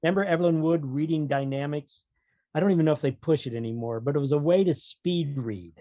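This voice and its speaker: A man's voice: a little high, quick pace, dark tone, lo-fi sound. A man talking casually as an author guest on a podcast, explaining his opinions with wry humour.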